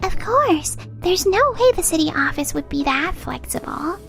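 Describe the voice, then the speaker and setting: Brash young heiress for manga dub, woman actor: high, swooping delivery, smug and theatrical. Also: lively intonation, moderate pace, vocal fry, a bit bright, soft background music, exaggerated voice. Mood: exasperated. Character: haughty young company heiress, sharp and competitive. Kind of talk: anime-style manga dub acting.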